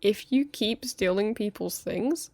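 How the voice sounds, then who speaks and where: A woman's voice: medium pitch, measured pace, dark tone, dry recording. A woman co-hosting a casual podcast chat. She giggles and stumbles over her words as she gives advice. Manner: giggly, stammering restarts. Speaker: podcast co-host. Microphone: podcast microphone.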